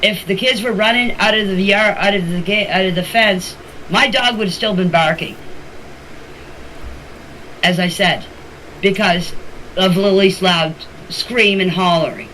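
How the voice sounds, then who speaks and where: Older woman with a bright, forceful voice. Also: medium pitch, measured pace, long pauses. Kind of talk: informal voice messages to a group chat. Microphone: phone voice message. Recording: noisy amateur voice message recording.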